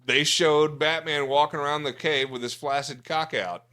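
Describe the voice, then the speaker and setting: A man's voice: a little high, steady tone, moderate pace, bright, forceful tone. A man in casual, bawdy podcast banter, telling stories in a crude, profane, joking way. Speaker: podcast host.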